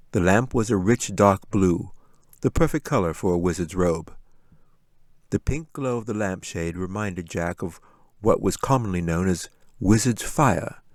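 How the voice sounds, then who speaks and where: A man's voice: deep voice, a little mellow, no room sound, clean studio sound. A man reading a fantasy audiobook as a scripted story narration. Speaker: author reading own audiobook.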